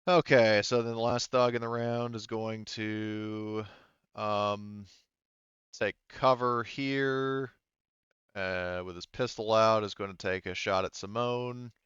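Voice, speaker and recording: A man working as game master, narrating a tabletop roleplaying game casually, thinking aloud haltingly and swearing freely. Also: on the low side, fairly even intonation, a bit bright, smooth, no room sound, muffled recording.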